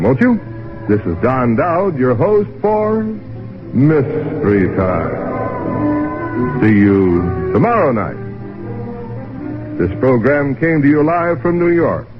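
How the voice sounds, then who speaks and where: Casting: polished male host-announcer for golden-age radio, theatrical swings in pitch, slightly dull band-limited recording. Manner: formal, dramatic, polished. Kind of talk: mid-century radio announcing, scripted. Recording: narrow-band vintage radio broadcast.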